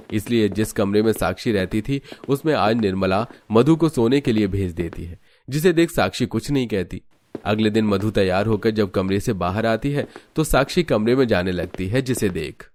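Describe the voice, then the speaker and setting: Guy narrating a cartoon story, lowish voice, scripted storybook read. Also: a little mellow.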